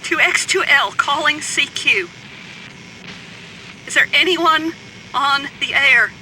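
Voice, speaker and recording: Slow, high, bright actress as lone radio operator. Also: female voice, a little hiss or hum, full character voice, rough recording. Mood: forlorn, pleading. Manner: halting, pleading radio calls. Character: lone female radio operator desperately calling for other survivors. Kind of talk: radio drama acting.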